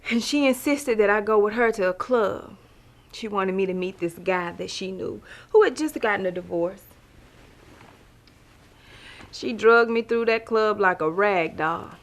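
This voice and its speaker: An actress playing a wry, love-struck woman who tells her life story in an expressive, sassy, conversational stage monologue. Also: medium pitch, unhurried, natural voice. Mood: confiding. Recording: music playing behind the voice.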